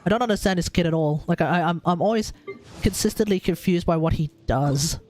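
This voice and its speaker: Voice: medium pitch, a little fast, darkish tone. A live streamer giving casual gaming commentary, thinking aloud and talking to the chat.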